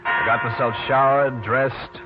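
A man's voice: medium pitch, steady tone, unhurried, over music, lightly acted. Man acting a dry, hard-boiled radio private eye. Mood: dry narration. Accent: American. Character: tough, cool, wry New York private detective man. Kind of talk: 1940s radio detective drama, first-person narration and dialogue. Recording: muffled, noisy old radio recording.

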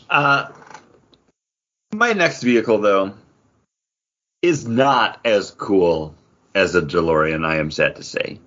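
Animated middle-aged man, slightly dull recording. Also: lowish voice, slow pace, long pauses. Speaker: podcast co-host. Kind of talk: casual pop-culture podcast chat.

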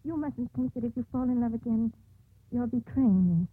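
A young condemned woman, tender and anguished, in 1950s radio melodrama style. Her voice sits high and has a husky edge. It comes through a narrow, muffled old broadcast. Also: normal speed. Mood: tender resignation. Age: young adult.

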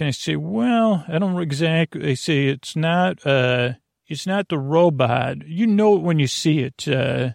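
Creaky-voiced man rambling gently toward bedtime. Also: a little high, no room sound, studio quality. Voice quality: creaky, gravelly. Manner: meandering, halting, frequent self-interruptions and tangents. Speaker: podcast host.

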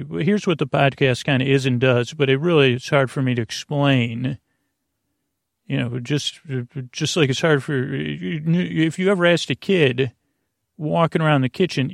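A man hosting a bedtime podcast in a creaky, lulling voice, meandering and halting through tangents and self-interruptions. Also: mid-range voice, no echo, clean studio sound. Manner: soothing, lulling. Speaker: podcast host. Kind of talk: rambling bedtime monologue to help listeners sleep.

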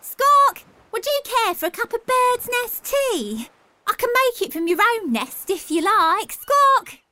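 A woman putting on a high, bubbly voice for a chatty, friendly female bird. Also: very animated, measured pace, full character voice. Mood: cheerful. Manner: bright, bubbly, loud squawks.

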